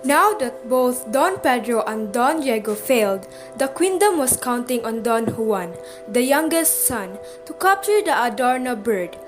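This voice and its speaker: A woman with a high voice narrates a radio play in a scripted storytelling style. Music plays clearly behind her. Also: big pitch swings, measured pace, hardly any pauses.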